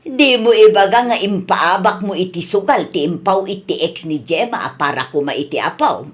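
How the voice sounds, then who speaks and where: A woman's voice: medium pitch, expressive, normal speed, lightly acted. A woman playing a disapproving friend who chides with exasperation, in a comedy radio drama. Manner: chiding, exasperated. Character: scolding female friend, a disapproving voice of conscience.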